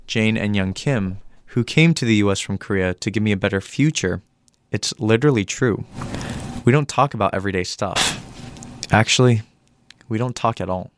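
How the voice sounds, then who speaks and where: A man's voice: fairly low pitch, expressive, moderate pace, dry recording. A young American man reading a scripted personal narration for a radio piece. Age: young adult. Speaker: radio intern reporter.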